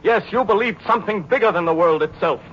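A dull, faintly noisy vintage radio recording carries an actor as a young American navy pilot. His voice sits a little high, and he speaks with theatrical intensity, a hard-bitten cynic turned fervent believer in a 1940s drama. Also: fast, natural voice. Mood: fervent, rising. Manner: intense, theatrical, searching delivery.

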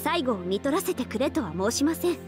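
A woman playing a devoted young half-oni samurai archer in a mobile game, speaking formal, reverent Japanese in a soft voice. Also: high voice, big pitch swings, brisk. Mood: wistful, grave. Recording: studio voice over game music.

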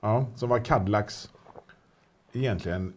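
Husky-voiced man, casual auction chat, meandering delivery. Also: on the low side, a little mellow. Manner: chatty, rambling with restarts and fillers. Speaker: auction specialist presenting a car.

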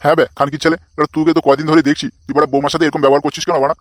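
A man's voice: fairly high pitch, little pitch movement, rapid-fire, dry recording, lightly acted. A man voicing a crude, bossy, lecherous old father-in-law in a comic cartoon, foul-mouthed and blustering. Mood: angry, scolding.

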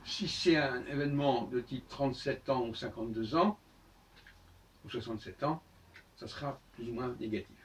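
An older man giving a solo talk to camera in a book-lined room, explaining step by step with many pauses and restarts. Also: medium pitch, far from the mic. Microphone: far camera on a tripod.

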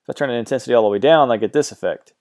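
A man talking through a software tutorial in a relaxed, hesitant way, with many ums and uhs as he describes settings. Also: mid-range voice, racing. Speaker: music production tutor. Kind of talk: screen-recorded software tutorial.

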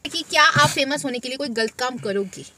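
A woman's voice: high-pitched, animated, fairly quick, pushed and bright. A woman in her twenties chatting casually as a vlogger, reading out questions and laughing often, recorded in a parked car on a dashboard camera. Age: 20s. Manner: chatty, giggly, reads out questions. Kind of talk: casual Q&A chat. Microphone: camera phone on the dashboard.

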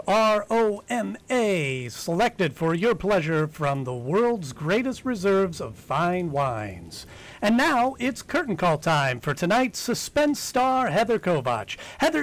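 An older man announcing in old-time radio style, delivering formal, emphatic sponsor reads from a home office. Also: high-pitched. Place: home office, on a video call.

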